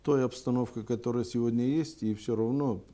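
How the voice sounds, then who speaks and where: A man giving unscripted interview answers as a TV guest, speaking in a halting, deliberate way. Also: mid-range voice, fairly even intonation, warm tone. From Gagauzia, Moldova. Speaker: TV programme guest.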